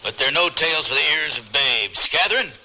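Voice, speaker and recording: A man's voice: a little high, lively intonation, fairly quick, bright, forceful tone. An actor playing a blunt, folksy frontier rancher and family man in an old-time radio drama. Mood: gruffly hospitable. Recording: slightly noisy old radio recording.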